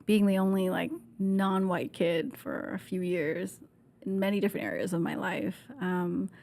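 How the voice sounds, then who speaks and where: A woman in her thirties, born in Vietnam and raised in Canada, talking candidly as a podcast guest, with lots of fillers, restarts and laughs, on a boom-arm mic in a podcast studio. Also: fairly even intonation, normal speed, creaky, a little mellow. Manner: chatty, laughs often, many fillers and restarts. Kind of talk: candid conversational interview. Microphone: desk mic on boom arm.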